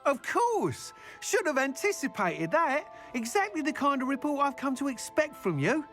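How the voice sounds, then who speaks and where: A man voicing an excitable, friendly broom shopkeeper and inventor in a video game, effusive in manner. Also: very high-pitched, moderate pace, soft background music, exaggerated voice. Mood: delighted.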